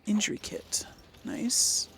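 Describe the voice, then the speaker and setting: A woman's voice: takes it slowly, a bit bright, slight background noise. A woman giving casual let's play commentary, thinking aloud as she goes. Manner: thinking aloud, reading quest text aloud.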